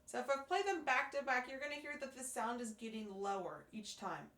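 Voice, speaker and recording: Woman, hoarse voice, fairly high, patient teacher, roomy echo. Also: lively intonation, a little fast, a bit bright. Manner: patient, step-by-step explaining with fillers. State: hoarse, losing her voice. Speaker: music teacher. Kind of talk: instructional demonstration. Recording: echoey room.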